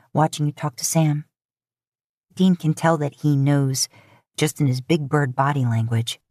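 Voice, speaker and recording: A woman's voice: low-pitched, darkish tone, no echo, studio quality. A woman reading scripted fiction as an audiobook narrator.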